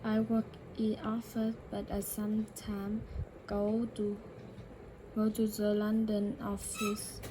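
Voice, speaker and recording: A woman practising English by repeating a dialogue line by line, slowly and carefully, with occasional self-corrections. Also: mid-range voice, completely flat delivery, noisy background. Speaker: English learner.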